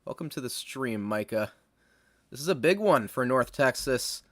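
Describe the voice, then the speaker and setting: A man's voice: mid-range voice, lively intonation, normal speed. A young American man chatting casually with his live-stream audience while calling plays in a football video game. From United States. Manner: chatty, upbeat, greets chat and calls plays. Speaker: gaming streamer. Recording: game music playing behind voice. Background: video game music.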